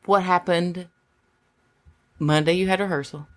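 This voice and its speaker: A woman co-hosting a casual podcast, bantering and teasing, her voice a little gravelly from a sore throat. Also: fairly low pitch, steady tone, measured pace. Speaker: podcast co-host. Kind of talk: casual chat.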